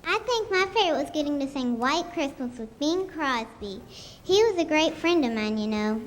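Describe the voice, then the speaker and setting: High young girl acting as veteran woman singer. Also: animated, normal speed. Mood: fond. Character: famous older female singers chatting fondly at the races. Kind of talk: scripted school radio show acting. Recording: echoey school hall, faint noise.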